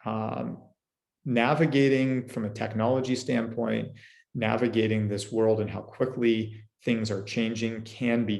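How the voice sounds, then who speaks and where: A man's voice: on the low side, gravelly, darkish tone. A man giving a presentation on the services of his senior care company, speaking earnestly with frequent restarts. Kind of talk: recorded presentation.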